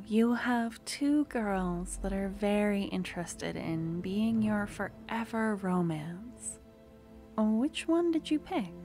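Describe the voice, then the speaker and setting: A woman hosting an ASMR roleplay, giving a soft scripted introduction. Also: medium pitch.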